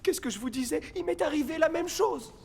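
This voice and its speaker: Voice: sits high, lively intonation, brisk, creaky, faint music behind. An actor voicing a jittery, dramatic man trapped in a cartoon haunted house. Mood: resigned, explaining. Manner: dramatic, alarmed. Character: jittery trapped man, great-nephew of the house's late owner. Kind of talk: French animated series dubbing.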